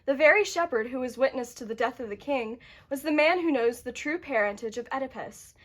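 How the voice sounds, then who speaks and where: Woman, narrator, fairly high voice, scripted dramatic storytelling, puppet show. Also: expressive, fairly quick, fairly bright tone.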